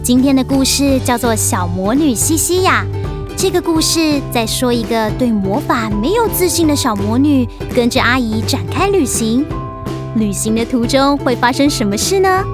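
Woman, high voice, children's story presenter, scripted intro, music behind. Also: lively intonation, moderate pace.

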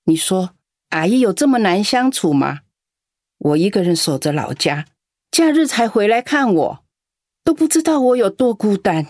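A woman's voice: medium pitch, big pitch swings, normal speed, no echo. An actress playing a doting, lonely elderly aunt in a radio drama, talking fondly and complaining about her absent children. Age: older. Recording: dry studio with soft background music.

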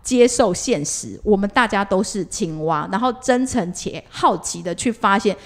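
A woman's voice: mid-range voice, animated, normal speed. A woman with a Taiwanese Mandarin accent in a casual podcast chat, telling stories expressively as co-host.

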